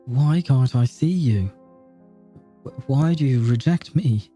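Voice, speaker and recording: A man's voice: medium pitch, animated, takes it slowly, warm tone, breathy edge, soft background music. A man voicing a proud, wounded young noblewoman athlete from a game story, earnest and desperate to win. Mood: desperate pleading.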